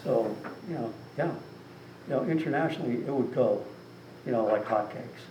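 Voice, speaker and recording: A man's voice: mid-range voice, normal speed, a crowd in the background. A man speaking casually as an author at a meeting, rambling with lots of "you know". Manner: rambling, filler-heavy, with frequent "you know". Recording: distant mic in an echoey room with some noise.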